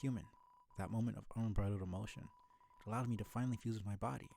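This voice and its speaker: A man's voice: fairly low pitch, steady tone, fast, gravelly, mellow, slight background noise. A man voicing an angry, indignant ghost living inside a training dummy in a video game, ranting melodramatically. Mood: elated, menacing. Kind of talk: video game character read-aloud.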